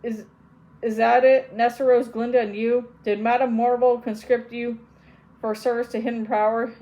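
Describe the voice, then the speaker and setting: A woman's voice: on the high side, level tone, moderate pace, dark tone, lightly acted, low-quality recording. A woman voicing a bitter, cornered witch in a storybook reading, speaking in an intense, scornful way. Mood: accusing.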